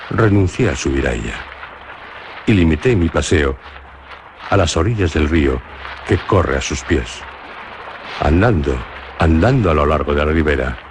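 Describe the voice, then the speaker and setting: A man with a Spanish accent narrating a radio drama in a measured, literary storytelling manner. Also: a bass voice, a little hiss or hum. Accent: Spanish from Spain.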